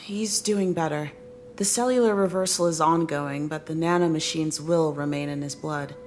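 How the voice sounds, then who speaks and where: Game supporting role, female actor: loyal crewmate, lowish voice, concerned and grounded, music behind. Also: moderate pace, natural voice. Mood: serious concern. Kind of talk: video game cutscene acting.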